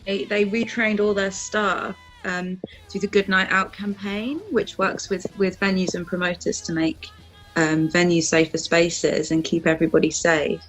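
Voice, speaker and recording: A woman's voice: fairly low pitch, steady tone, normal speed, faint music behind, rough recording. A woman in a band chatting informally and slangily in an interview. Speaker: band member being interviewed. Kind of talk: casual interview chat.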